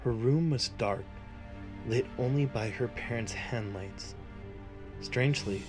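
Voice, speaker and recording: Man narrating over soft music. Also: on the low side. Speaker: channel host and narrator. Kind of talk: scripted story narration.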